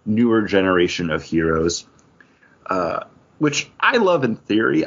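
A man's voice: lowish voice, fairly bright tone. A man hosting a podcast in a casual, conversational way. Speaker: podcast host. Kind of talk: casual chat about comic books. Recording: dull, lo-fi podcast audio.

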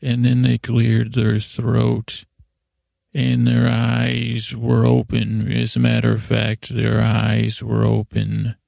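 A man hosting a bedtime-story podcast in a lulling, soothing manner, rambling and hesitant, repeating himself and trailing off in slow meanders. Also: medium pitch, completely flat delivery, warm tone, no echo, studio quality. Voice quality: creaky, gravelly. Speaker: podcast host.

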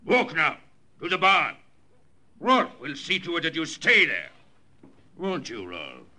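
An actor playing a menacing farmer with a German accent, barking orders in stilted formal English in old radio-drama style. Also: male voice, fairly high pitch, wildly swooping pitch, slow, bright, forceful tone, heavily acted. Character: menacing German farmer holding captives at gunpoint. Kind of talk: 1950s radio drama acting.

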